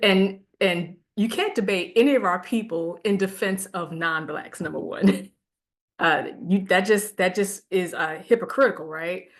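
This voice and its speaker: A woman lecturing a class in a casual, conversational way, animated and laughing at times. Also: mid-range voice.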